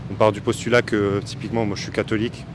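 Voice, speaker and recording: A young man with a low voice answers on a busy street and works through his point step by step, pausing and hesitating often. Clear traffic noise runs underneath. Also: little pitch movement. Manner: measured, reasoning step by step, many hesitations. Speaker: passer-by interviewee. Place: busy city square outdoors.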